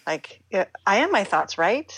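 A middle-aged American woman speaking reflectively as an interview guest, halting and emphatic, often acting out her own inner dialogue. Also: mid-range voice, very animated, fairly bright tone, slightly rough, no echo. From United States, Pittsburgh, Pennsylvania.

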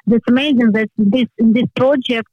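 A woman with a Ukrainian accent, a guest speaking careful, halting English with many pauses. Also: on the high side, no echo, highs cut off. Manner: halting non-native English with frequent "uh" pauses. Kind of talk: interview over a call.

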